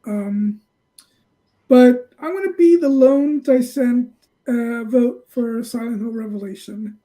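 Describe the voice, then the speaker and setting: Woman, fairly high pitch, soft dark timbre, casual panelist, measured. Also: poor audio. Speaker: film panelist. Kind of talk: casual chat.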